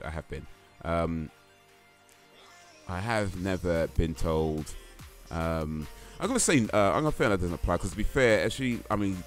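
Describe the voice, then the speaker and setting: A deep-voiced man talks casually to his stream audience, rambling and hesitant with plenty of fillers and restarts. Quiet music plays faintly underneath his voice. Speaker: livestream host. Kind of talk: casual stream chat, reading chat and quiz aloud.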